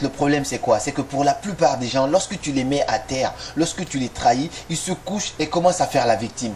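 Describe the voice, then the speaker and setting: A man's voice: medium pitch, a little mellow. A man giving a motivational talk straight to the listener, speaking directly and firing off rhetorical questions. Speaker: motivational video host. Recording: noisy recording with clicks.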